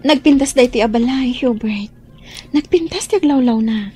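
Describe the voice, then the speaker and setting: A woman acting a gentle young woman in love, speaking softly and sweetly. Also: high-pitched, normal speed, natural voice. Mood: tender. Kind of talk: radio drama acting. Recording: background music under the voice.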